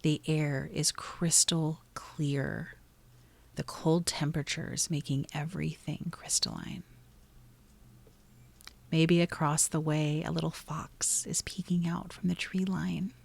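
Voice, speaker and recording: A woman narrating a guided meditation in a soothing, gently instructive way, pausing between short phrases. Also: low-pitched, little pitch movement, creaky.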